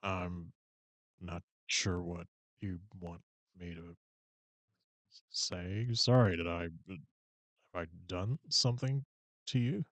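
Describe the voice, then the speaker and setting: A man doing a bored deadpan coworker. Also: low-pitched, slow, long pauses, no echo. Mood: confused. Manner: flat, hesitant, mumbling. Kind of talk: improvised comedy scene.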